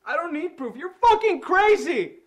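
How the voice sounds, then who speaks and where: A man's voice: sing-song, unhurried, heavily acted. A panicked shrieking man. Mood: panicked pleading. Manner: shouting, voice cracking high. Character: terrified desperate man pleading with intruder.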